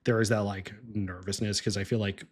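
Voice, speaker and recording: A man hosting a podcast, chatting casually with frequent "like" and quick affirmations. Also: on the low side, little pitch movement, slightly rough. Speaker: podcast host. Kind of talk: casual interview chat.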